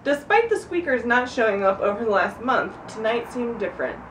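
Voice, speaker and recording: A woman with a fairly high, very lively voice reads a funny story aloud to friends. She is giggly and informal, tripping over words and breaking off to comment. The recording is echoey and sounds somewhat far from the mic. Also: brisk. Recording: echoey room, distant mic, background noise.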